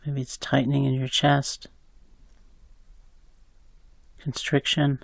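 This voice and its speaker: A man slowly guiding a compassion meditation, calm, with long pauses between phrases. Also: mid-range voice, vocal fry, no room sound. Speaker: meditation guide. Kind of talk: guided meditation.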